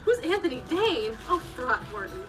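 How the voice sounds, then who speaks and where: A woman's voice: high-pitched, very animated, moderate pace, music in the background. A woman acting a gushing, romantic small-town sweetheart in an old-time radio comedy, swooning and coquettish. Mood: excited, dreamy. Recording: stage radio play, somewhat distant mic.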